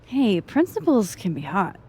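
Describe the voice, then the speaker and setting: A dry-witted young academic in a video game, guarded and understated. Her voice is husky and fairly high. She speaks slowly, with a lively rise and fall. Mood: wry, subdued. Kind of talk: naturalistic video game dialogue acting.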